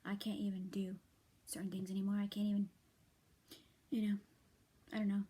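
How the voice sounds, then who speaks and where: Tired, hoarse young woman whispering softly. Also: medium pitch, flat delivery. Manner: soft, halting, often whispery, many fillers and restarts. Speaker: vlogger. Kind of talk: late-night rambling vent to viewers.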